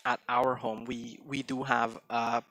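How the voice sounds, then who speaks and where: A young man chatting casually on a game stream, quick-talking and full of restarts and little fillers. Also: medium pitch, flat delivery, fairly bright tone, dry recording. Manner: chatty, hesitant restarts, mixes in Tagalog phrases; tends to talk fast. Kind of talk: casual live-stream chat.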